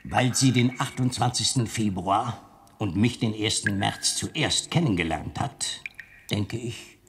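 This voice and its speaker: An actor playing a reasonable, noble council member in a radio play, speaking in an earnest, measured way. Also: male voice, fairly low pitch. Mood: persuasive. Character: noble, reasonable male spring day pleading at council.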